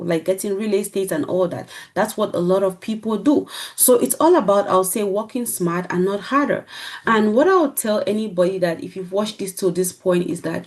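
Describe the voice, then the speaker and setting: A woman hosting a business workshop, giving a persuasive sales pitch and often checking in with "right?". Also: darkish tone. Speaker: business coach and workshop host.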